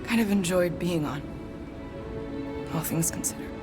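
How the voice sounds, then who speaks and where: A woman's voice: on the low side, a little slow, close to an everyday voice. A woman playing a deadly, guarded alien assassin in a video game, intense and bitter, with a terse, dryly sarcastic delivery. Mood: grave, bitter. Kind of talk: dramatic video game acting. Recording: music behind the voice.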